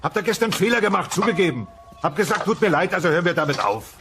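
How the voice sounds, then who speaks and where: A man's voice: sits high, big pitch swings, fast, music in the background. A man playing a bumbling, blustering dinosaur husband and father in a German-dubbed comedy audio play. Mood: defensive. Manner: evasive, wheedling, blustering.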